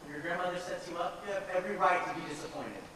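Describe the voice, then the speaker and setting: A man's voice: sits high, expressive, very fast. A man playing an exasperated, sarcastic young grandson in an amateur stage comedy. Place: hall stage, distant camera. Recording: distant, echoey, very noisy stage recording.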